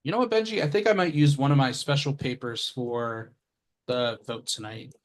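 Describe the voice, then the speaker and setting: A man's voice: mid-range voice, moderate pace. A man hosting an online game over a video call, playful and prone to trailing off. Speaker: game show host.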